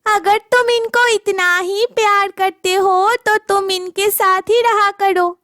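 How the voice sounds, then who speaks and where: A woman voicing a mean, mocking village girl in a Hindi animated moral story. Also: extremely high pitch, takes it slowly, no echo, clean studio sound, heavily acted. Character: mean, taunting village girls.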